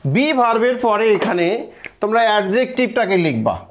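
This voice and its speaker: A man's voice: high voice, big pitch swings, moderate pace. A middle-aged man with a Bangladeshi accent teaching in a classroom style, didactic, repeating phrases and asking check questions. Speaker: English grammar teacher and board examiner.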